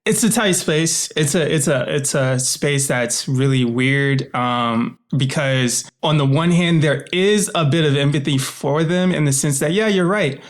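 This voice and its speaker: A man's voice: on the high side, a little slow, hardly any pauses. A man speaking in an informal, reflective way as a podcast guest and producer, in a conversational style. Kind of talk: conversational interview and listener address.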